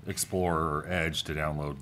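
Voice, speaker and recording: A man's voice: rumbling low, level tone, normal speed, a bit bright, a little hiss or hum. A man who is a guest from a hardware company, chatting in a dry, matter-of-fact way. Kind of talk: casual chat.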